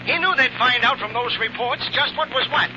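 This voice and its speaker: An actor playing a hard-boiled, eager American newspaper editor in an old-time radio drama, slangy and punchy. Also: male voice, very high-pitched, a little fast. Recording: muffled, very noisy vintage radio recording.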